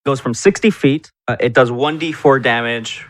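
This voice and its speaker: A man's voice: medium pitch, moderate pace. Male tabletop gamer, average register, loose conversational delivery, slipping casually into character. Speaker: tabletop game player.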